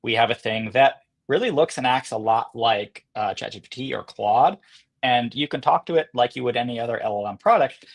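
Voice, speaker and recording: A man speaking as an interview guest, explaining and demonstrating software in a casual, conversational style. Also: mid-range voice. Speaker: podcast guest, company co-founder and CEO.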